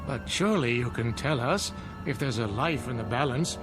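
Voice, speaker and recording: An actor playing a mild, courteous and thoughtful reverend, formal and gentle, in a radio drama. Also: male voice, mid-range voice, animated, normal speed, over music, close to an everyday voice. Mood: pensive.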